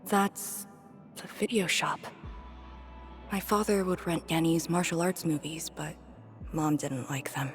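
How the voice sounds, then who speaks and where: An actress playing a haunted, wistful young woman in a video game, speaking softly and hesitantly in a breathy near-whisper. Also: deep voice. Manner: slow, hesitant, pensive. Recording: music behind the voice. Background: background music.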